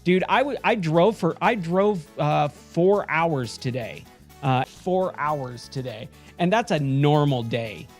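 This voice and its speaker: Hoarse, somewhat high-voiced male streamer; chatty, rambling sign-off to viewers over soft music. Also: lively intonation, takes it slowly. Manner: chatty, rambling, frequent self-corrections. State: hoarse, losing his voice. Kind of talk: casual end-of-stream chat with viewers.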